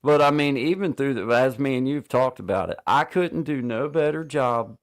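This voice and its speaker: A man's voice: medium pitch, normal speed. A man hosting a casual live stream chat, talking in an easygoing, folksy way.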